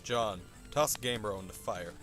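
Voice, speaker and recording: A man's voice: on the low side, normal speed, a bit bright, soft background music. A man reading scripted comic narration in a wry, theatrical manner.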